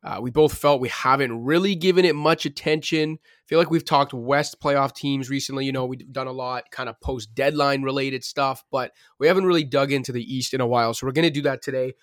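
A man hosting a sports podcast, talking quickly and casually, thinking aloud with plenty of fillers. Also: medium pitch, fairly even intonation, a bit bright, dry recording, clean studio sound. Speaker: podcast host.

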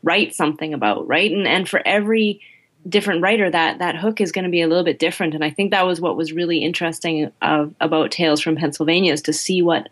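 A woman's voice: on the low side, fast, a bit bright. A woman guest author in a podcast chat who repeats her words and keeps checking in with "right?" and "you know". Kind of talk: podcast conversation.

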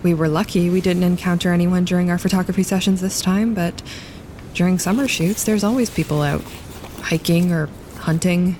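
A woman playing a practical wildlife photographer on a winter camping trip in a naturalistic audio drama, speaking in a hushed, matter-of-fact, low-key way. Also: fairly even intonation, moderate pace, lightly acted, rough recording. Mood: subdued, practical. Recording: clear outdoor ambience noise behind.

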